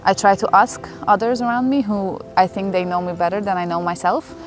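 Young woman, from Hungary, English, emotional storytelling, mid pitch, music behind. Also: fairly even intonation, a little fast. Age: young adult. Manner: earnest, emotional, chatty with fillers. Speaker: interviewee. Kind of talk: personal storytelling interview. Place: outdoors in a forest. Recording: clear music bed under the voice.

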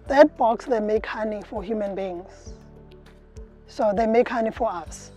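A woman's voice: medium pitch, unhurried, mellow, faint music behind. A middle-aged woman beekeeper, interviewed outdoors in an orchard, explaining with frequent fillers and restarts. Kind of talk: interview answers.

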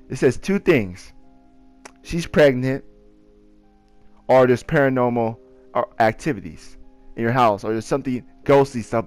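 A young man telling personal stories in a casual, rambling, slangy and excitable way. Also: medium pitch. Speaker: video creator telling personal ghost stories. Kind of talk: casual storytelling over gameplay. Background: music.

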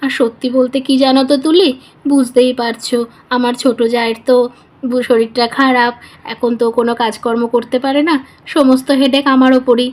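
Woman playing a high-voiced, fretting mother. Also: little pitch movement, normal speed, a little mellow, lightly acted. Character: busy, fretting, talkative mother of a schoolgirl. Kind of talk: doll-play family story acting.

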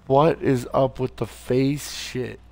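Tired, gravelly gaming streamer man. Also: mid-range voice, fairly even intonation, slow, warm tone. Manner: swears a lot, yelps at the game. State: tired and hoarse. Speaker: let's play streamer. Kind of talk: casual gameplay commentary.

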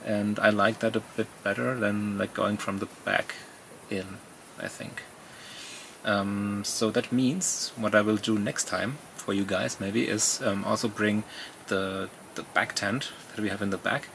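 Low-voiced, gravelly man. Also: steady tone, measured pace, a bit bright, slight background noise, rough recording. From Germany. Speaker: camping vlogger. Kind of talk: casual vlog chat. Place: outdoors at a campsite and in an awning tent.

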